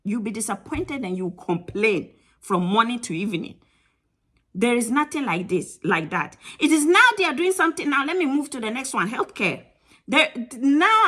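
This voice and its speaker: A woman with a Ghanaian accent gives frank advice to her viewers in a casual talk to camera. Her voice sits a little high, with a bright edge. She is emphatic and blunt, hammering points home by repeating them. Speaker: channel host giving travel advice.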